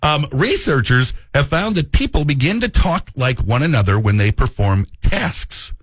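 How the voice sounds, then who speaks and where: A man's voice: medium pitch, very animated, moderate pace, a bit bright, no room sound. A man with an Upper Midwestern accent, hosting a radio show and chatting casually, joking and laughing often.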